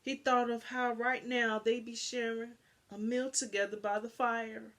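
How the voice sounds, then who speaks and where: A woman narrating a children's storybook aloud in an expressive style. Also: a little high, measured pace. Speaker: storybook reader.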